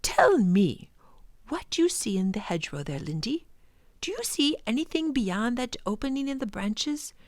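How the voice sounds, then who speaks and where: Woman reading as a passionate, animated professor. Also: fairly high pitch, no echo. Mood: excited, coaxing. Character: older male professor, passionate, earnest, wonder-filled teacher. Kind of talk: children's storybook read-aloud.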